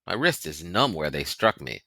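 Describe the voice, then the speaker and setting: A man's voice: low-pitched, very animated, normal speed, vocal fry, bright, forceful tone, no echo, heavily acted. A man voicing a frightened, earnest young boy in an audiobook, anxious and pleading. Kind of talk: audiobook character voice.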